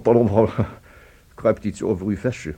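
A pompous, ceremonious company official in a radio play. His voice is husky and his manner is fussily polite, with formal phrases and small hesitations. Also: lowish voice, fairly even intonation, normal speed, mellow. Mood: astonished.

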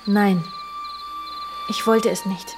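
A woman's voice: mid-range voice, steady tone, slow. An actress in a German radio drama playing a worldly wife who confides in her husband about their restless, jealous marriage. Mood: tense, defiant. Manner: literary, confiding, addressing her husband directly. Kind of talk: German radio drama, intimate narration and dialogue. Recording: music behind the voice. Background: music.

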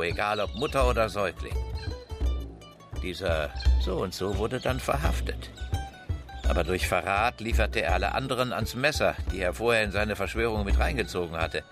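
An actor playing a menacing man of authority in a German radio drama, mocking and sly as he tells tales. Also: on the low side. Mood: grimly relishing. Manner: sly, mocking, drawn-out storytelling. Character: menacing, taunting man of authority grilling a boy.

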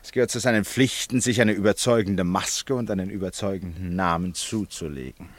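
A man's voice: low-pitched, fairly quick. An actor in a German radio play as an eccentric, theatrical Englishman who explains things with teasing relish. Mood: revealing, amused. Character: theatrical, eccentric Englishman fond of stories and revelations.

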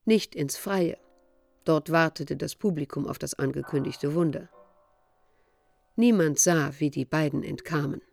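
A woman's voice: low-pitched, unhurried, gravelly, a little mellow, faint music behind. A woman acting as the storyteller narrator of an apocalyptic radio play, reading in a literary style. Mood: narrating.

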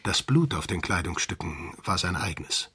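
A man playing a troubled, gentle middle-aged father in a radio play, with a husky, breathy voice. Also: sits low, expressive, moderate pace, full character voice. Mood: worried, gentle.

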